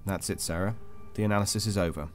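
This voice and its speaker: An actor playing a cold, detached interrogator in an audio drama, formal, controlled and insistent. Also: male voice, low voice, quick pace, lightly acted. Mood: coldly firm. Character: cold, clinical male interrogator of a captive. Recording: music under the voice.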